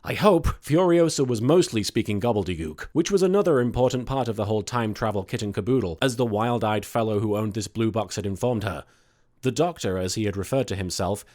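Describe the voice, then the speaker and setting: A man's voice: fairly low pitch, darkish tone. A man hosting and narrating a scripted comic story, with some casual introductory talk. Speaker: host and fan fiction reader.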